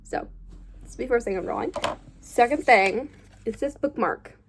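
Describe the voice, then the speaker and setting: A woman's voice: a little high, normal speed, slight background noise. A young woman vlogging casually while she draws, chatty and rambling, with a slight cough and a voice she says she is losing. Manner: chatty, rambling, self-correcting. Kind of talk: casual drawing vlog chat.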